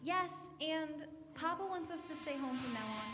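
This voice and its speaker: An actress playing an innocent, impressionable daughter in a radio drama, with a hesitant, wondering manner. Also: female voice, high voice, animated, normal speed, background noise. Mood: girlish, eager. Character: innocent, impressionable girl, sheltered daughter, wide-eyed and gentle. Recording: echoey hall, music behind.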